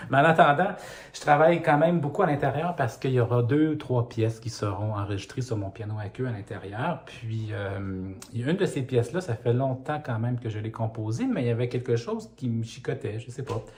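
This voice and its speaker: A man with a Québécois French accent, a pianist-composer talking casually to the camera at home, thoughtful and pausing often to find his words. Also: mid-range voice, lively intonation, darkish tone, slightly rough, no room sound. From Quebec. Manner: thoughtful, searching for words with frequent pauses. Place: indoors at home.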